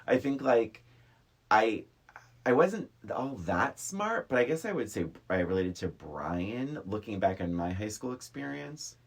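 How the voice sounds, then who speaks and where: A middle-aged man talks casually as a podcast co-host. His voice is husky and moves up and down a lot in pitch. He is teasing and likes to act out quoted lines. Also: sing-song, a little slow. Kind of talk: casual chat about a film.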